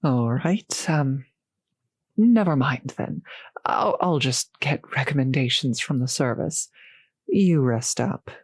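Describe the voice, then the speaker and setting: A woman playing a courteous, gentle centuries-old vampire host in a roleplay audio drama, speaking politely and slightly formally to the listener, with soft hesitations. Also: a bass voice, expressive, slow pace, slightly rough, no echo, clean studio sound, exaggerated voice. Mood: hospitable. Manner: polite, softly hesitant, old-fashioned genteel phrasing.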